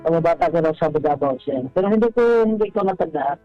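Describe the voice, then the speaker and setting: A middle-aged man calling a radio show, telling his story casually in a halting, deferential way, repeating his phrases. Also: on the high side. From Philippines, Visayas or Mindanao. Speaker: caller to a radio advice show. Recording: dull lo-fi phone call, faint music.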